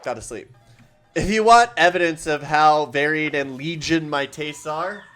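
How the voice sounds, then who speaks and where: A man's voice: on the high side, measured pace, pushed and bright, soft background music. A man streaming a game in casual chat, rambling and self-deprecating and often laughing, talking from a room at home. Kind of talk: casual livestream chat while playing a game. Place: home room with a collection of objects.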